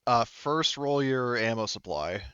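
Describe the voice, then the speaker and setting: A man's voice: medium pitch, lively intonation, a little slow, fairly bright tone, clean tone, dry recording. A man talking casually and informally as game master of a tabletop role-playing game, thinking through rules aloud, with slightly muffled audio. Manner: informal, occasionally swearing. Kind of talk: casual game-running chat over rules and dice.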